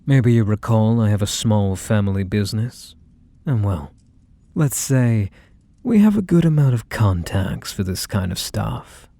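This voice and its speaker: A man's voice: lowish voice, expressive, warm tone, no room sound. A man playing a possessive, teasing mafia boss lover in an intimate ASMR roleplay, speaking in a mock-sweet, condescending way full of menacing affection. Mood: smooth, condescending. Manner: unhurried, mock-sweet, condescending pet names. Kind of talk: ASMR roleplay, intimate one-sided dialogue.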